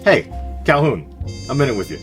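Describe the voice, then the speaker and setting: A man playing a teasing, rough-talking gangster club boss in a 1920s radio play. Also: medium pitch, big pitch swings, a little fast, close to an everyday voice. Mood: gruff, earnest. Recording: music playing behind the voice.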